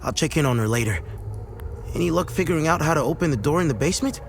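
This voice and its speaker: An actor playing an earnest young blacksmith's apprentice with a rough past, speaking plainly in a video game. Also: male voice, on the high side, lively intonation, fast talker, soft background music. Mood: earnest, hurried.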